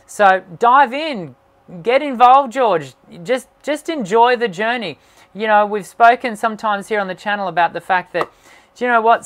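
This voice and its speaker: A man who hosts a singing channel, answering viewer questions on a live stream in an encouraging tone and often pausing with "um". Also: high voice, fairly bright tone. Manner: encouraging, talks with many "um" fillers. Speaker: singing teacher and channel host.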